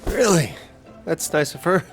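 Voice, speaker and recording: A man's voice: on the high side, big pitch swings, a little slow. A man voicing an anxious, stressed young man who rambles nervously and swears, in a video game cutscene with music behind. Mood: awkward.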